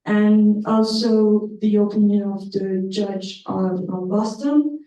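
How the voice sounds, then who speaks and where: A woman's voice: medium pitch, fairly even intonation, slow, dark tone, muffled recording. A young woman from Congo, a master's student, asking a panel polite, hesitant questions. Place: law school lecture room. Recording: echoey room, far from the mic.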